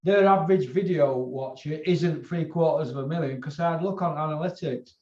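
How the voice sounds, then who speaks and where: Male channel host, Northern English, higher-pitched voice, loose repetitive chat, dull roomy recording. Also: a little fast, a little mellow. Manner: rambling, colloquial, sweary, repeats himself. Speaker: host of a boxing video channel. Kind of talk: casual chat.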